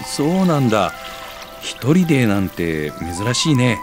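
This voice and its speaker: An actor playing a mild, good-natured, slightly bashful bachelor in a scripted audio drama. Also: male voice, mid-range voice, very animated, a little slow, over music. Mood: friendly.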